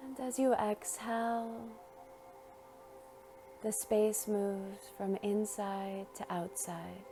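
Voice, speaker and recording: A woman leads a guided meditation in a mellow, relaxed voice at a medium pitch. She speaks very slowly and gently, letting long pauses fall between short phrases. Quiet music plays faintly behind her. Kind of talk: guided meditation, slow scripted narration.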